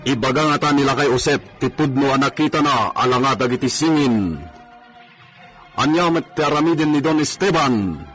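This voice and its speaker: A man with an Ilocano accent announces and narrates a radio serial in a scripted style. His pitch is medium, with faint music underneath. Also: normal speed.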